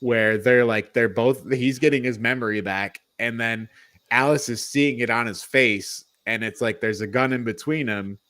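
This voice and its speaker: A man co-hosting a podcast, chatting casually through a film review in a halting, rambling way. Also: mid-range voice, fairly bright tone, dry recording. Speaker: podcast co-host.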